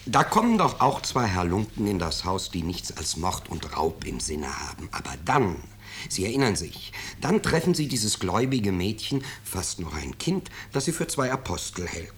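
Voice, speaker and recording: An actor playing a smug, anxious middle-aged businessman in a 1950s radio drama, husky and insistently defending himself. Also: on the low side, sing-song, normal speed, a bit bright, heavily acted. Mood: earnest, self-justifying. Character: smug, self-justifying, anxious bourgeois businessman.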